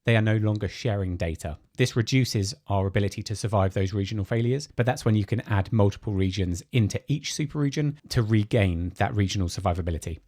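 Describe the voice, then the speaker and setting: A man narrates a technical screencast, explaining each step in a measured, steady way. His voice sits low and dark, with a slightly husky edge. The recording is dry and close, with no room sound. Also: no room sound. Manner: measured, explanatory, walks through steps. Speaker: product demo presenter.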